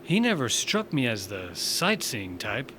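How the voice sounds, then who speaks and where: Man playing a wry, animated young space barkeep. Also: medium pitch, measured pace, a little hiss or hum. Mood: puzzled, casual. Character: easygoing young Martian man reopening a space station bar. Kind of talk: science fiction audio drama dialogue.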